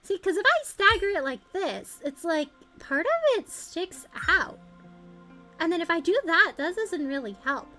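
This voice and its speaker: Lively high-pitched woman streaming a game. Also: unhurried, faint music behind. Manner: expressive, exclamatory, thinks aloud, chatty. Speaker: video game livestreamer. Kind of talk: casual gaming stream chat.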